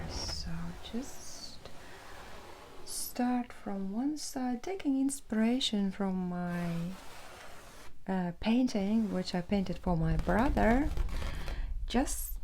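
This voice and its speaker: A woman in her thirties speaking in a hushed, halting whisper as an ASMR host. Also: mid-range voice, creaky, slight background noise, low-quality recording. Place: indoor room at home with brick wall.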